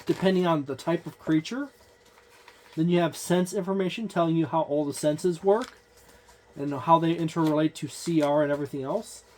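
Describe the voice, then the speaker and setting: Mellow-voiced man reviewing a game book. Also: on the high side, normal speed, slight background noise. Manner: meandering, thinks aloud.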